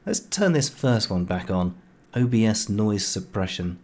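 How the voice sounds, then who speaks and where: A man's voice: fairly low pitch, big pitch swings, a little slow, darkish tone. A man narrating an informal screencast tutorial in a conversational, explanatory way with wry understatement. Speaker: tutorial host. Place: home room, voice-over recorded near computer.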